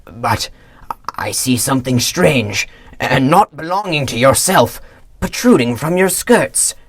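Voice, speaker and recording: A man's voice: a little high, animated, a little slow, no room sound. A man reading aloud and voicing an old miser who pleads in a raised, eager tone. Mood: pleading. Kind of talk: audiobook character voice.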